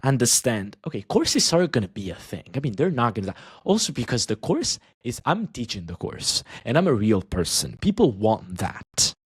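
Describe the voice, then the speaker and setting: A man guesting on a podcast as an online language teacher, chatting casually and talking in quick bursts with frequent restarts. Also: medium pitch, very animated, slightly rough, no echo, studio quality.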